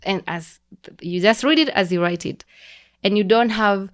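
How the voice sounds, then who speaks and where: A young woman with a Kenyan accent, a podcast guest explaining things in a relaxed, teacherly way with frequent restarts. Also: medium pitch, normal speed. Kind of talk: casual conversational explaining.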